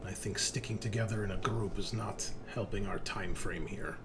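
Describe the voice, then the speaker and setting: A man's voice: fairly low pitch, fairly even intonation, normal speed, noisy background, natural voice. A man playing a curt, dismissive female doctor in a tabletop game, speaking in terse, formal sentences. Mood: firm, impatient. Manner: terse, formal, brushing others off.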